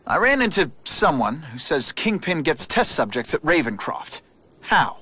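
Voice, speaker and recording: An actor playing a wisecracking, indignant young superhero with a sarcastic, quippy way of talking in a video game. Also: male voice, on the high side, big pitch swings, measured pace, bright, forceful tone, husky, full character voice. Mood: sardonic, firm.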